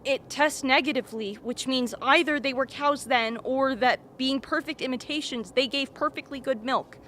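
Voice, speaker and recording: An actress playing an anxious but rational camp doctor in a radio play, analytical and matter-of-fact. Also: female voice, high voice, moderate pace, a bit bright. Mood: clinical, uneasy. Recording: faint background noise.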